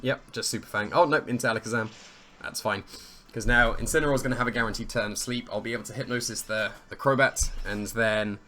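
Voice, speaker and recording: Slightly croaky man thinking aloud. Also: mid-range voice, fairly even intonation, fast talker, pushed and bright. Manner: thinking aloud, weighing options, amused at his own plays. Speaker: competitive player commentating his own match. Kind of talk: casual play-by-play commentary.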